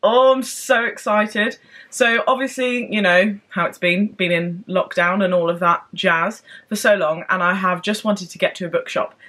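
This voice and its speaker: A young woman talking straight to her camera at home and in a parked car, bubbly and chatty. Her pitch sits in a middle range and she tumbles eagerly from one aside to the next. It sounds like an easygoing, excitable vlog. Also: normal speed. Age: young adult. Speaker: book vlogger. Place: home room with bookshelves, later parked car.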